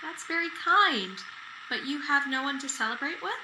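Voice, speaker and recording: A woman's voice: sits high, expressive, moderate pace. A woman playing a kind, courteous, sweet-tempered neighbour in a radio drama. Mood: friendly. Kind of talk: golden-age radio drama acting. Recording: roomy echo, noisy background.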